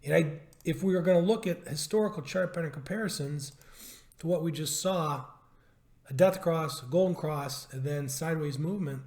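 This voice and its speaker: A man with a somewhat high, hoarse voice walks through stock charts in a market update. He drives his points home with emphasis, repeats them and throws out rhetorical questions. The voice rings in an echoey room. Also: normal speed, darkish tone. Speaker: stock trading educator.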